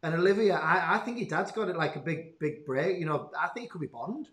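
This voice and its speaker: A man hosting a casual interview, enthusiastic and informal, with halting phrasing. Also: fairly high pitch, expressive, a bit bright, roomy sound. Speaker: interviewer, school host.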